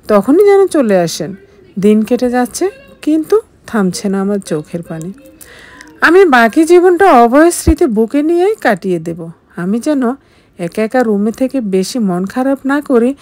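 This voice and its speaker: A woman's voice: on the high side, darkish tone. A woman reading a scripted, emotional first-person story in a dramatic, melodramatic manner. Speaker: story narrator.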